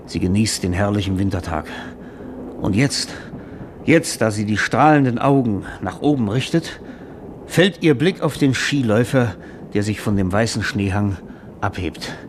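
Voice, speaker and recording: Ein Schauspieler spielt in einem deutschen Hörspiel einen alternden, trinkenden Drehbuchautor, der schwärmerisch und pathetisch erzählt. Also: male voice, fairly low pitch, lively intonation, moderate pace, a little hiss or hum, close to an everyday voice. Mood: rapturous, dreamy. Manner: theatrical, rhapsodic, savoring his words. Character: drunken, aging screenwriter, dreamy and grandiose storyteller. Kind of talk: German radio drama acting.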